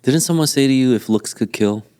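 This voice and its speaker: A man's voice: medium pitch, a little fast, mellow, smooth. A man co-hosting a church podcast, throwing in dry, teasing one-liners during casual chat. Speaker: podcast co-host.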